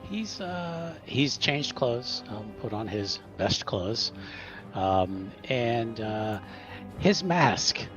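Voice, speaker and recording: A man's voice: medium pitch, big pitch swings, very slow, muffled recording, over music. A man playing a tabletop game, chatting casually and improvising in a stop-start way, repeating words.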